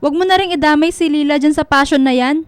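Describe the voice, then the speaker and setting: A bitter, controlling mother in middle age played by an actress in a radio drama. She scolds in a high, reproachful voice, recorded very dry. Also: fast talker, no room sound, natural voice. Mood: bitter, scolding.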